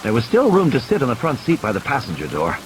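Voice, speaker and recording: Male audiobook narrator, dramatic scripted thriller reading, highly animated intonation, medium pitch, clear rain and vehicle ambience. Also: quick pace, background noise. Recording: clear rain and storm effects behind. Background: rain, vehicle and ambience sound effects.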